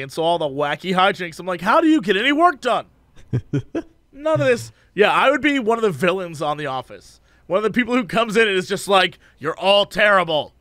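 A man co-hosting a casual podcast, hoarse from losing his voice, joking around and breaking into silly sung bits. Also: high-pitched, dry recording, clean studio sound. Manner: goofy, sings and shouts bits, jokey asides. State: hoarse, lost voice. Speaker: podcast co-host.

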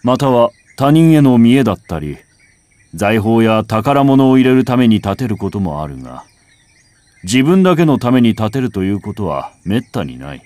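A man reciting a literary first-person text as a scripted narration, in a measured, contemplative way with dramatic phrasing. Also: medium pitch, very animated, darkish tone, no room sound. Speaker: audiobook reader. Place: home recording.

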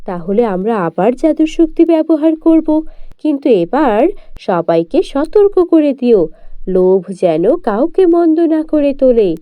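A woman voicing a benevolent, wise magical fairy who gently cautions, in a children's cartoon fairy tale. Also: sits high, very animated, unhurried, dry recording, phone-quality sound, pitched above the performer's own voice. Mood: cautioning.

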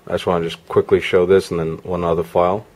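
Low-voiced man giving an off-the-cuff demo. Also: little pitch movement, fast talker, noisy background. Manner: informal, off-the-cuff. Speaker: product reviewer. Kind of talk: casual hands-on gadget demo. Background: music from demo videos on a player.

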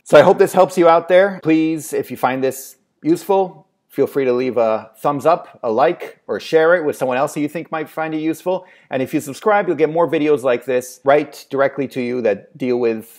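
A man's voice: mid-range voice, fairly quick, hardly any pauses, a little mellow. A man in his thirties talks straight into his webcam, easygoing and a little hesitant, hedging and restarting as he thinks aloud. His earphone mic picks up a plain, close sound in a small room at home. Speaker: translation agency owner giving advice. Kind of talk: casual advice vlog answering a viewer question.

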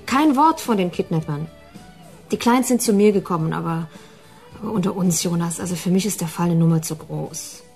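An actress playing a frank, informal woman in a radio drama, talking in a colloquial, halting way. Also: fairly low pitch, vocal fry, a little mellow, soft background music. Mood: candid, persuasive. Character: frank, informal woman appealing to a detective colleague.

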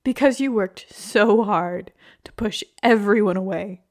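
A young woman playing a hurt, heartbroken girlfriend in an emotional, halting ASMR roleplay monologue. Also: medium pitch, close to an everyday voice. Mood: bitter, angry.

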